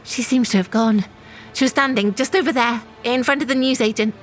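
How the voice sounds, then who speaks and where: A woman's voice: fairly high pitch, fairly quick, soft background music, close to an everyday voice. An actress playing an anxious, self-justifying woman shop manager in a naturalistic audio drama. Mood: worried.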